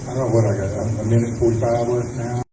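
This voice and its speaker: A middle-aged man introducing himself as a candidate, hesitant and halting with many fillers. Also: medium pitch, flat delivery. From Oklahoma. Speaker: council candidate. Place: small meeting room. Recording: roomy, distant, noisy.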